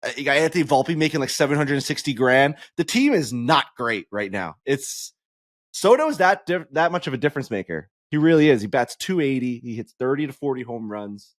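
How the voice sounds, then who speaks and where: A man's voice: mid-range voice, brisk, no echo. A man co-hosting a podcast who gets worked up as he talks and swears freely. His voice sits in a middle register. It is recorded close on a dry studio mic with no room sound. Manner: animated, ranting, swears freely. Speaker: podcast co-host. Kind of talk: casual sports chat.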